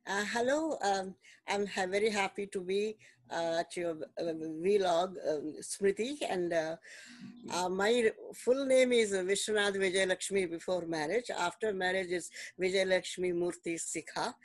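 A woman in her seventies with an Indian English accent and Telugu as her first language, unhurriedly telling her life story as an interview guest over a video call, with many pauses and fillers. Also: medium pitch, no room sound. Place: home room, indoors.

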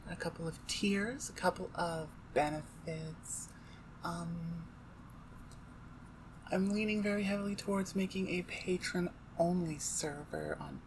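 A woman's voice: fairly low pitch, low-quality recording. A woman audio creator talks casually to her listeners. She is informal and rambles, hesitating as she goes, and there is noticeable noise behind her voice.

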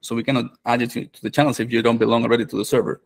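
A man, hosting a live online course on a video call, relaxed and full of fillers. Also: medium pitch, racing, creaky. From Venezuela. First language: Spanish. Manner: relaxed, many fillers and restarts. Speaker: developer advocate and course host.

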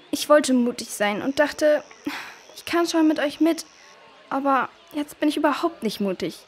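A woman playing a timid, anxious young girl who speaks haltingly and tearfully in a children's audio drama. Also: high voice. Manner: hesitant, halting, stammering.

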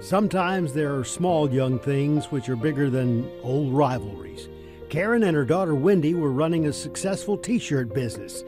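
Older guy, tv feature narrator, bit higher voice, folksy and measured, music behind. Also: expressive. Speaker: TV feature host and reporter. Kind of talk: scripted news narration.

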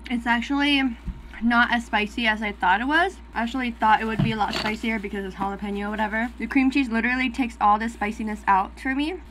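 A woman in her twenties chatting casually as she cooks and eats on camera, full of "like" fillers, sometimes dropping to a soft whisper. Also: fairly high pitch, steady tone, fast, a little hiss or hum, low-quality recording. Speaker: mukbang creator. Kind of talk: casual commentary while cooking and eating. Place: small indoor room with dark backdrop.

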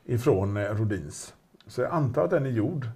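Husky-voiced man, casual auction chat, meandering delivery. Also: medium pitch, lively intonation, dark tone. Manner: chatty, rambling with restarts and fillers. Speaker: auction specialist presenting a car.

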